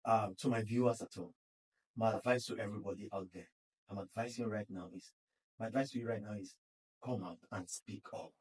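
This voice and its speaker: A man's voice: fairly low pitch, brisk, darkish tone, far from the mic, rough recording. A Nigerian man, founder of a podcast studio, earnestly urging listeners to speak up in a casual interview. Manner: earnest, exhorting.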